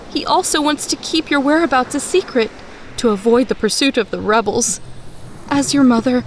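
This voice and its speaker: A woman voicing a loving, worried mother in an animated story, speaking tenderly and solemnly. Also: high-pitched, a little hiss or hum, close to an everyday voice. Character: loving mother of a young hero, worried, wise.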